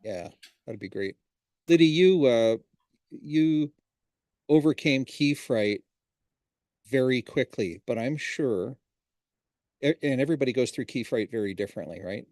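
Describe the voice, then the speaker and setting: A man's voice: medium pitch, expressive, measured pace, long pauses, darkish tone, dry recording, studio quality. A man hosting a casual livestream chat who asks long, rambling questions in a friendly way. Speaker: host.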